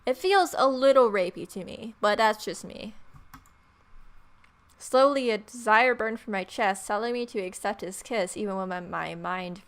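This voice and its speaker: Female let's play host, mid-pitched, irreverent and playful, switching between narration and offhand remarks. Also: moderate pace. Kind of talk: visual novel read-aloud with casual commentary.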